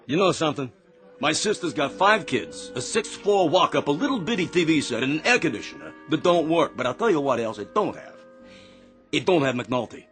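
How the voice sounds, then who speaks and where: Fed-up working-class barfly: fairly high voice, very animated, blunt, folksy, radio comedy. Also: male voice, brisk, faint music behind. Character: fed-up working-class male bar regular. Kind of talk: comic radio drama acting.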